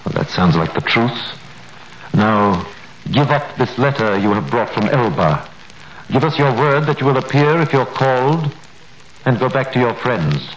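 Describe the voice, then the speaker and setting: An actor playing a guarded crown prosecutor who questions a prisoner in a measured, authoritative way, in a 1930s radio drama. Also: male voice, medium pitch, expressive, natural voice. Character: cold, guarded crown prosecutor, grown man. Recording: roomy old radio recording with faint hiss.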